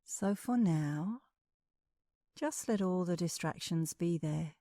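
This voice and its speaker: A woman leading a guided meditation as an instructor, speaking in a calm, soothing, measured way with long pauses. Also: fairly low pitch, no echo, studio quality.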